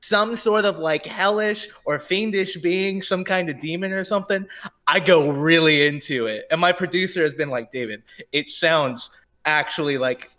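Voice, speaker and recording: Bright, forceful, higher-pitched man. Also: normal speed. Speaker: panelist, game master. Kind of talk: casual roundtable chat.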